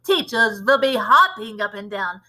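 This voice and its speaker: A woman's voice: fairly high pitch, lively intonation, a little slow. A woman acting out a disgusting old witch leader from a children's storybook, gleefully cruel, with theatrical, chanting delivery and broken grammar. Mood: gloating, shouting. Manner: ungrammatical, chanting, theatrical shouting.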